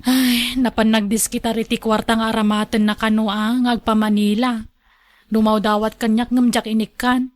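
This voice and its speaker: A woman's voice: a little high, little pitch movement, moderate pace, no echo, lightly acted. A woman playing a doubtful, plain-spoken wife in a radio drama, questioning and exclaiming. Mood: matter-of-fact. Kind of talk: Ilocano radio drama acting.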